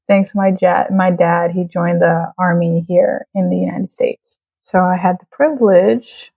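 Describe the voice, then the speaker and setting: A woman's voice: lowish voice, steady tone, a little slow, gravelly, dark tone. A woman in her late twenties, from Trinidad and raised in Florida, speaking thoughtfully as an interview guest and laughing often. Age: late 20s. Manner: reflective, laughs often, many fillers. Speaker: birth photographer, guest. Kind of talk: casual interview chat.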